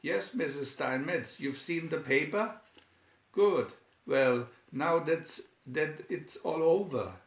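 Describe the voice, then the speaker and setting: A man's voice: on the high side, slow. A man voicing a scheming, blustering male tycoon villain from a storybook, with a commanding manner. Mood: oily, chummy. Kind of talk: storybook character reading. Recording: dull, echoey room recording.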